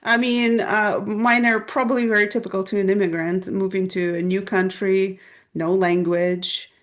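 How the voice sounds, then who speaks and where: A woman from Belarus with a Belarusian accent, a native Russian speaker, calmly explaining her views step by step as a podcast guest. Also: mid-range voice. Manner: measured, explanatory, lays out points step by step. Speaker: guest, business strategist. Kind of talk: podcast interview conversation.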